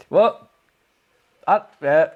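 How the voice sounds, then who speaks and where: A man's voice: very high-pitched, creaky, slightly rough, highs cut off. Chatty man. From Hong Kong. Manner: chatty, halting with many fillers and restarts, chuckles often. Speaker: photography livestream host. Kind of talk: casual livestream chat reading viewer comments.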